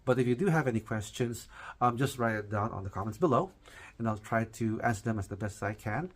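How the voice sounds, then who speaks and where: A man with a nasal voice, a nurse practitioner giving an informal teaching talk, halting with frequent fillers and restarts. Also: medium pitch.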